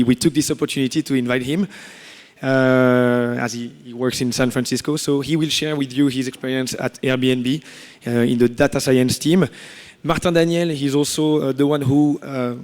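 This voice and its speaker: A man's voice: medium pitch, darkish tone. A man introducing a guest speaker at a workshop, formal but hesitant, with frequent fillers. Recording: echoey hall.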